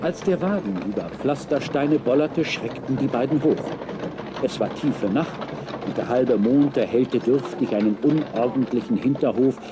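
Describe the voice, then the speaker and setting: An actor narrating an adventure tale in a scripted children's audio drama. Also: male voice, medium pitch, moderate pace, noisy background, lightly acted. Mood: measured storytelling.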